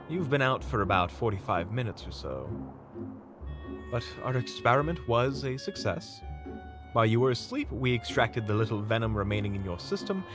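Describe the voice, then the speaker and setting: A man's voice: medium pitch, natural voice. A man acting as a wry, reassuring monster hunter who teases and explains things in an audio roleplay.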